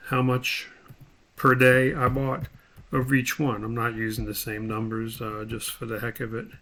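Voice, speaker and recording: Guy teaching software step by step, medium pitch, unscripted, kinda halting, talks thru what hes doing. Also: flat delivery. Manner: halting, thinking aloud while working. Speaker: instructor giving a tutorial. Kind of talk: step-by-step spreadsheet tutorial, unscripted.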